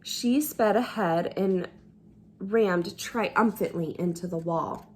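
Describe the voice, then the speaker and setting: A woman storyteller reading a children's book aloud with expressive, deliberate, dramatic phrasing. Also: medium pitch, a little hiss or hum, low-quality recording. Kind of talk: expressive read-aloud narration.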